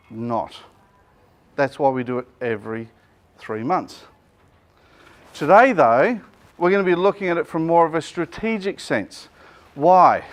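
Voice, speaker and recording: A middle-aged Australian man, a business coach, running a joking, energetic seminar and quizzing his audience, speaking without a microphone to the room. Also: fairly high pitch. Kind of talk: lively workshop presentation to a live room.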